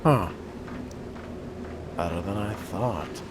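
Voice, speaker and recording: Low, wry theatrical man role-playing a vampire. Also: slow pace, very noisy. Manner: wry, theatrical, formal turns of phrase. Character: sardonic, predatory fledgling vampire stalking prey. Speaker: gamer role-playing through a video game. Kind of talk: in-character solo game commentary. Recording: loud music over the voice.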